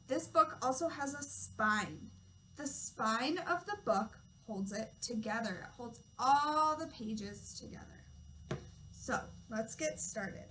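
Young female preschool teacher, high-pitched, simple instructive read-aloud delivery for toddlers, noisy room recording. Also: fairly bright tone. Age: young adult. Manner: simple, instructive phrasing with questions to children. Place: small classroom, indoors.

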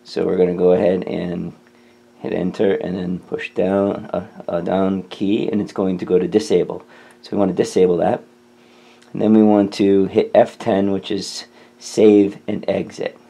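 A man's voice: deep voice, flat delivery, moderate pace, vocal fry, mellow, husky. A man hosting a tutorial, talking through steps in a casual, informal how-to style. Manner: informal, step-by-step instruction. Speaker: tutorial host.

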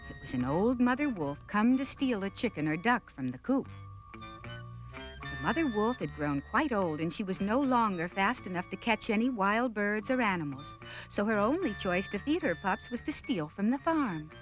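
A woman's voice: medium pitch, very animated, moderate pace, faint music behind, low-quality recording. A woman narrating a scripted children's cartoon story.

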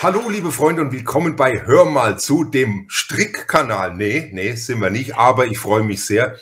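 A man's voice: mid-range voice, expressive, measured pace, fairly bright tone, a little hiss or hum. A man hosting a podcast interview in a casual, chatty and wordy way, often interrupting himself and repeating words.

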